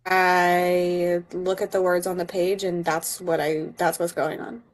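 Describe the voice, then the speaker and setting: A woman's voice: lowish voice, flat delivery, measured pace, rough recording. A woman speaking casually as a guest in an informal livestream chat with friends.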